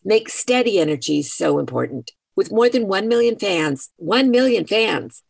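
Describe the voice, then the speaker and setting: A woman's voice: fairly low pitch, gravelly, no echo. A woman, a show's executive producer, reading a scripted radio ad in a chatty testimonial style.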